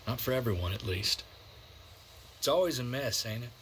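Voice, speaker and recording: An actor playing a weary, loyal survivor in a video game, plainspoken and folksy, with an American accent. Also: male voice, mid-range voice, expressive, moderate pace, a bit bright, a little hiss or hum. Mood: rueful, reflective. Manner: folksy, colloquial, reflective. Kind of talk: naturalistic video game drama acting.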